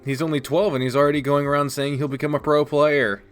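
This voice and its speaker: A man voicing a troubled, guarded teenage boy athlete in a low, subdued way. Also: level tone, quick pace, smooth, soft background music, lightly acted. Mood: chatty.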